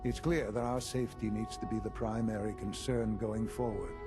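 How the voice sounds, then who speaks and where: An actor playing a dying elderly male leader of an institute, composed and authoritative, speaking in measured, formal phrases for a game. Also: fairly low pitch, fairly even intonation, over music, close to an everyday voice. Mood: measured, resolute. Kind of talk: dramatic game dialogue.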